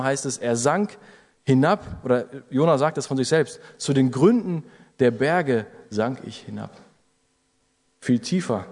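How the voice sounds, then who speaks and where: A man delivers an earnest sermon and presses his listeners with rising emphasis. His voice sits at an ordinary middle pitch. A long reverb trails each phrase through the hall. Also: darkish tone. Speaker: preacher.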